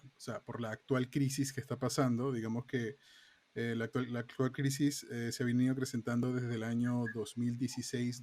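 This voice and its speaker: A man's voice: mid-range voice, a little mellow. A man, a guest telling his story in a thoughtful, rambling way with many fillers. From Peru, raised in Venezuela. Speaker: guest, software QA engineer. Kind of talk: casual interview conversation. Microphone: remote call setup.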